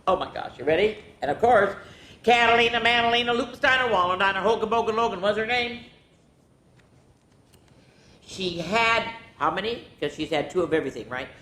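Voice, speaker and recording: A woman's voice: animated, fairly quick, a bit bright. A woman hosting a children's story time, reading picture books in a sing-song way with chatty, exclamatory asides. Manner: chatty, exclamatory, drawing out rhymed words. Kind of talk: animated picture-book read-aloud with sing-song rhymes and casual asides. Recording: echoey room with background rumble noise.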